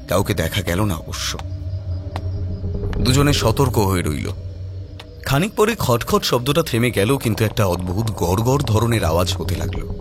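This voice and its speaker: A man narrating a scripted horror story in a dramatic storytelling style. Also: medium pitch. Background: prominent background music.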